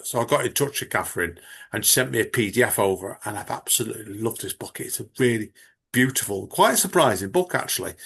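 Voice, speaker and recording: A man's voice: medium pitch, gravelly, a bit bright, husky. Chatty man hosting a podcast. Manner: chatty, rambling, often laughing. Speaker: podcast host. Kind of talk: casual interview chat.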